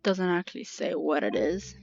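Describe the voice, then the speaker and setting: A woman's voice: lowish voice, animated, normal speed, gravelly, darkish tone, dull audio. A woman giving casual let's play commentary, informal and reactive, thinking aloud as she plays. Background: game music.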